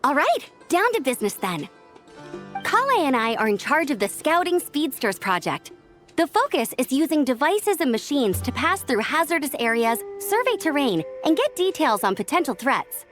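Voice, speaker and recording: An eager young scout girl in a video game. The youthful voice is high and lively, breezy and confident, with faint music behind it. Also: normal speed. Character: upbeat, energetic young female scout, eager to help.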